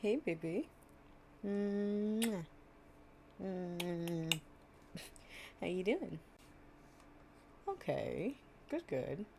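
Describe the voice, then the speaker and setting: A low, soft-edged, mellow voice from a young woman acting out an affectionate, chatty girlfriend. She talks in a casual, slangy, playful way, as in an intimate bedtime storytime roleplay. There is faint noise behind her. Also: sing-song, drawn-out words, vocal fry, pauses often, exaggerated voice.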